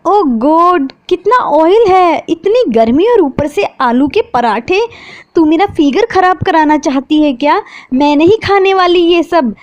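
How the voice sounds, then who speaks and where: Woman doing a shrill, spoiled sister, whiny and mocking, dry close sound. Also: lively intonation, a little slow, dry recording, muffled recording. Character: spoiled bratty young woman, sharp-tongued sister.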